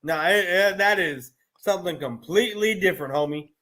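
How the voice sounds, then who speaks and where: A man's voice: sits high, moderate pace, bright, forceful tone. A man chatting casually as a panelist, restarting his phrases again and again. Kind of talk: casual chat.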